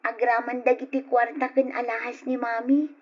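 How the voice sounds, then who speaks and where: Woman acting high-voiced, plaintive young orphan niece. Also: flat delivery, normal speed, lightly acted. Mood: sad, grateful. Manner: plaintive, pleading. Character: mistreated, pleading orphaned niece, young and tearful. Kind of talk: old Ilocano radio drama acting. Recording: dull lo-fi old radio recording.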